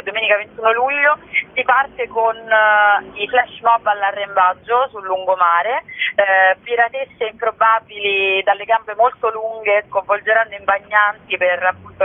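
A woman speaking spontaneously as a festival curator in an interview, sometimes searching for words mid-phrase, over a telephone. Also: medium pitch. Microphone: telephone call. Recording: narrow, tinny telephone line.

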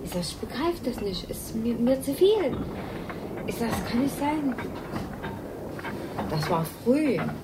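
A distressed middle-aged woman recounting personal events in halting, insistent, repetitive phrases. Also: a little high, sing-song. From East Germany. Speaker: mother recounting her children's departure. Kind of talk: emotional documentary recollection. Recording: very noisy location recording.

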